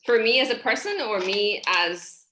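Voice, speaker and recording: A woman's voice: mid-range voice, moderate pace, slight background noise, poor audio. A woman in her thirties from Hong Kong speaks as a podcast guest on a video call from a small room. She talks in a casual, heartfelt way and gestures freely as she explains her ideas. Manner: warm, expressive, gestures while talking. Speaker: guest, relationship coach.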